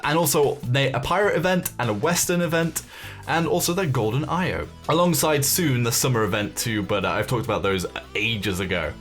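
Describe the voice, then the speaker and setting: A man's voice: medium pitch, normal speed, fairly bright tone. A man hosting a gaming video, giving casual, speculative news commentary in an informal, tentative way, as if thinking aloud. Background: music behind the voice.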